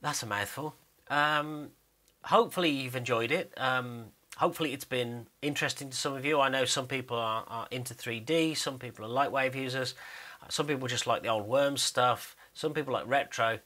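A man with a very bright, forward voice at an average pitch talks through a screen recording of his animation work. He is casual and unscripted, rambling and hesitant as he explains, in a modest, self-deprecating way. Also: normal speed. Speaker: animator and video narrator.

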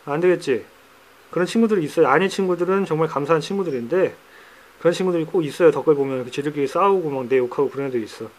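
A middle-aged man with a hoarse, cracking voice, talking casually in a how-to vlog about his pets, recorded on a handheld phone indoors. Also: fairly high pitch, racing. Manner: chatty, teasing, drops into banter. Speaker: pet keeper vlogger. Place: indoors among pet enclosures.

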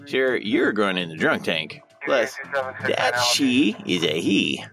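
An actor playing a wry, world-weary police sergeant in an audio drama. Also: male voice, fairly low pitch, very animated, slow, exaggerated voice. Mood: dry, wry. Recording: slightly distant, music behind.

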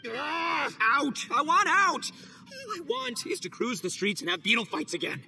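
A man voicing a rowdy, brash young gang boss in a game, loud and slangy. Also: very high-pitched, big pitch swings, a little slow, gravelly, faint music behind, full character voice. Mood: restless. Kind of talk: video game cutscene voice acting.

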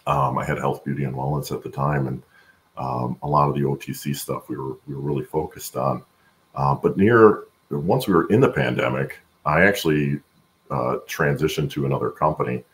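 A middle-aged man with a gravelly voice, explaining his work haltingly as an interview guest on a video call. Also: a bass voice. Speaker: guest, supply chain expert. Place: room at home, on a video call.

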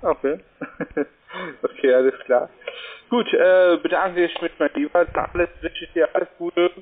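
Higher-pitched foreign salesman on tinny phone. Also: normal speed. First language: not German. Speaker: cold-calling telephone salesman. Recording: tinny narrow-band phone line.

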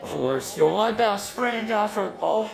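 A man's voice: high voice, animated, a little slow, gravelly, a crowd in the background, heavily acted. A man voicing a reassuring little farmer character in an amateur cartoon dub.

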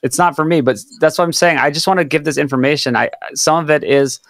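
A man's voice: on the high side, fast, dry recording. A man in his thirties from Hawaii, hosting a podcast from a home studio and talking eagerly with many repeated words and restarts. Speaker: podcast host. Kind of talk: casual interview chat. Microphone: studio mic on boom.

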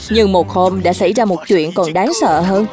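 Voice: medium pitch, moderate pace, close to an everyday voice. A performer voicing a boastful pelican master who tells tales grandly in a dubbed children's cartoon. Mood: storytelling. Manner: grandiose storytelling. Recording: music behind the voice.

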